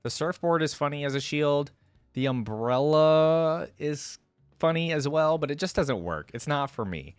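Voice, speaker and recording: A man's voice: fairly high pitch, lively intonation, a little slow, clear, resonant tone, no room sound. A man hosting a reaction video, giving informal, off-the-cuff commentary and thinking aloud. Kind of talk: casual off-the-cuff reaction commentary on game skins.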